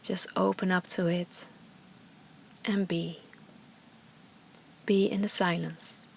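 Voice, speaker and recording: Slow, soothing woman guiding meditation. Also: on the low side, little pitch movement, vocal fry, slight background noise. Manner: slow, soothing instructions with long pauses. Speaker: yoga and meditation teacher. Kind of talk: guided meditation.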